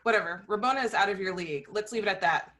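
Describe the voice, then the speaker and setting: Surly, bright-voiced young woman, acted by a woman. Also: mid-range voice, fast talker, lightly acted. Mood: dismissive. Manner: sharp, scolding. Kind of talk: table read of a comedy screenplay.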